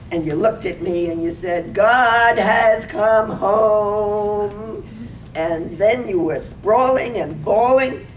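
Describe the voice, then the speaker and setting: A woman acting a teasing, scolding wife in a comic stage reading of a radio play, exclaiming her stories theatrically. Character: teasing, scolding wife in a Welsh village comedy. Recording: echoey, distant microphone, faint rumbling noise.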